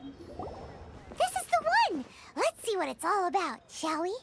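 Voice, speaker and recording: A woman voicing a small, cute, curious fairy companion in a video game, with a squeaky childlike voice and expressive delivery. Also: takes it slowly, gravelly, heavy background noise, full character voice. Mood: curious, eager. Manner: refers to self in third person, exclaiming, expressive. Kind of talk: video game character dialogue.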